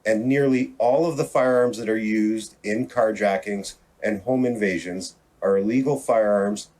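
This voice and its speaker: A man's voice: on the low side, unhurried, darkish tone, poor audio. A male police official reading a formal, prepared public statement.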